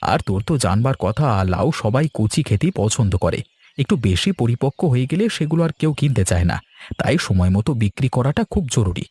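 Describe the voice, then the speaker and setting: A man's voice: mid-range voice, very animated, fairly quick, a little mellow, breathy edge, no room sound. A man playing a busy, kindly village farmer in a cartoon, explaining things in an avuncular way. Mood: genial. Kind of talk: cartoon dialogue acting.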